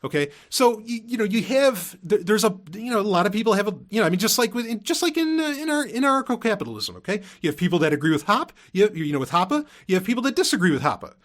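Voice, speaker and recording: A man's voice: sits high, very animated, fast, hardly any pauses. A man hosting a podcast in a casual, unscripted monologue, rambling and emphatic, often repeating himself and swearing casually. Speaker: podcast host.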